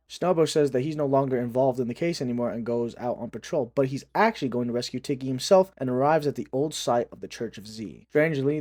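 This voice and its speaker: A man's voice: medium pitch, dark tone, no echo. Man recapping in a dry, close recording. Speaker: video host. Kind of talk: scripted recap commentary.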